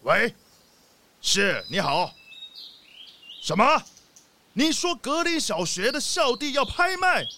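High-pitched haughty rich leopard boss. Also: male voice, very animated, slow pace, creaky, long pauses, very noisy, exaggerated voice. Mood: gloating. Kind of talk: children's audio drama acting.

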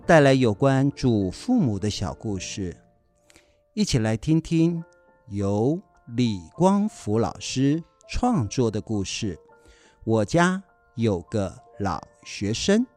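A middle-aged man presents a radio story from a script. He speaks slowly with very animated intonation in a mid-pitched voice, over faint music.

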